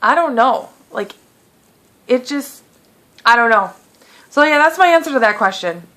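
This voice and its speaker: A woman's voice: a little high, measured pace. A woman in her late twenties chatting through a casual beauty Q&A vlog, full of fillers, with a lingering cough. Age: 20s. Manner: chatty, lots of fillers, animated quoting. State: lingering cough, clearing throat. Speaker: beauty vlogger.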